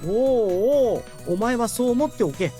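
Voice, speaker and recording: A man's voice: extremely high pitch, lively intonation, a little fast, mellow, faint music behind. A man voicing a casual, teasing teenage schoolboy classmate in a Japanese visual novel.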